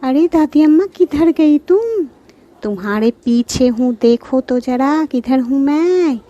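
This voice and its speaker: A woman voicing a clever young girl in a children's cartoon, calling out and coaxing in drawn-out pleas. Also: sits high, animated, dark tone, highs cut off, pitched above the performer's own voice.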